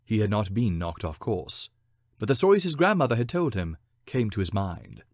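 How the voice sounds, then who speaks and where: Animated male storyteller, dry close sound. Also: lowish voice, no echo. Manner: theatrical, deliberate phrasing. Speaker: narrator, short story reader. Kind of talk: dramatic scripted storybook narration.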